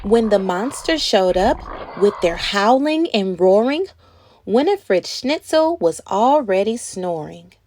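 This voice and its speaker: A woman reading a children's story aloud in an expressive, animated way, acting out words as she goes. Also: medium pitch, slow pace, a little hiss or hum. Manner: animated, acts out words and sound effects. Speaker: children's read-aloud host.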